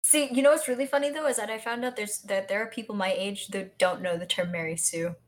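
An American woman from South Florida chatting casually as a podcast co-host, telling stories in an animated way. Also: medium pitch, fast. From South Florida, United States. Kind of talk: casual conversational chat.

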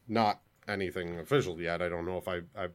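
A man's voice: sits low, fairly even intonation, brisk. A man chatting casually on a gaming podcast, eager and restarting his words. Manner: enthusiastic, repeats words when starting.

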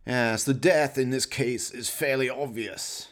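A man's voice: mid-range voice, unhurried, fairly bright tone, pitched above the performer's own voice. A man playing a sinister, gloating doctor in his fifties in a radio play, with pompous, theatrical and precise delivery. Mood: smug, professional. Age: 50s. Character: sinister, gloating middle-aged mad scientist doctor. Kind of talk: radio play villain acting.

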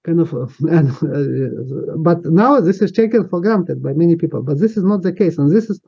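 A man's voice: on the high side, expressive, normal speed, creaky, mellow, breathy edge. A man in his fifties from Ukraine with a Ukrainian accent, speaking English as a second language and recounting his past hesitantly, full of fillers and restarts. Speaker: interviewee. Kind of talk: recounting personal history. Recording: dull lo-fi sound.

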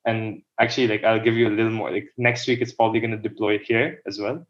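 A man's voice: lowish voice, fairly even intonation, fast talker, highs cut off. A young man from the Philippines, a podcast guest, who talks casually and rambles with many restarts and "like" fillers. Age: young adult. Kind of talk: casual interview talk.

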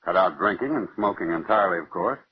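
A deep, husky male doctor speaks in a muffled vintage radio drama. He is sober and measured, advising calmly in the 1940s acting manner. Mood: reassuring.

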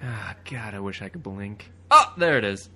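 A man's voice: fairly low pitch, animated, normal speed, sharp tone. A man riffing over a comic film with crude, sarcastic wisecracks. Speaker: comedy riffer. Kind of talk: joking riff commentary over a comic film.

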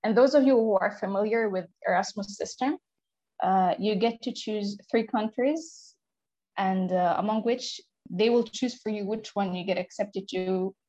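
A young woman from Israel, a podcast guest who explains things in a measured way. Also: mid-range voice, dark tone, no echo, muffled recording. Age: young adult. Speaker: interview guest. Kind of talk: conversational interview.

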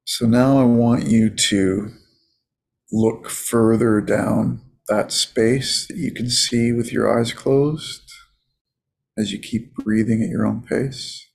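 A man leading a guided meditation as a coach, in a soothing, casual, unhurried manner. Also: mid-range voice, steady tone, creaky, darkish tone.

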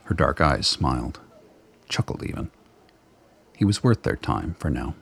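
A man narrating a radio play in a measured, dramatic, literary storytelling style. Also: extremely low pitch, animated, creaky, fairly bright tone, breathy edge.

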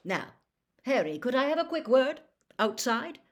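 A woman voicing a jovial middle-aged male official in an audiobook, chummy and eager, with a booming, hearty manner. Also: big pitch swings, measured pace, full character voice. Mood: hearty, bustling. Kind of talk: audiobook character acting.

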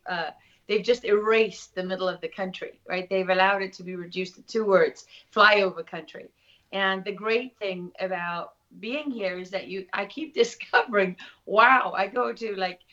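A woman's voice: mid-range voice, a bit bright. A middle-aged woman with a South African accent, talking reflectively and anecdotally as an interview guest. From Durban, South Africa. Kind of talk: conversational interview. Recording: slightly dull, lo-fi audio.

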